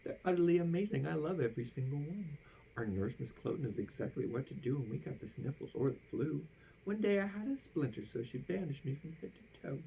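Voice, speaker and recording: A woman reading a children's rhyming picture book aloud with theatrical, drawn-out emphasis at the ends of phrases. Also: deep voice, animated, creaky, dark tone, slight background noise, poor audio. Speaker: storybook reader.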